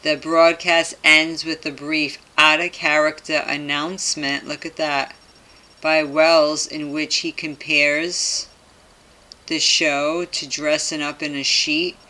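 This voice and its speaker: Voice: mid-range voice, little pitch movement, takes it slowly, bright, forceful tone, poor audio. A person reading aloud and commenting casually to viewers, with skeptical, conspiratorial asides and frequent tag questions, in a home recording. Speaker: video host commenting on a topic.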